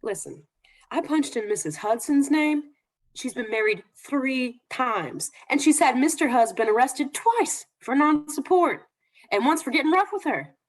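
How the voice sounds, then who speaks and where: High, swooping, scolding wife played by a woman. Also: very animated, a little slow, heavily acted. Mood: indignant, threatening. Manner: scolding, emphatic. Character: nagging, indignant wife at the end of her patience. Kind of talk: old-time radio comedy acting.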